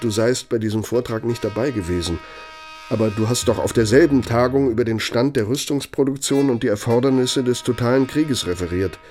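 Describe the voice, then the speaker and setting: An actor playing a cynical, bitter middle-aged architect and old Nazi functionary in a German radio play, gravelly, with a pointed, self-justifying delivery. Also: male voice, fairly low pitch, darkish tone, lightly acted. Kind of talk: German radio play acting, dramatic monologue.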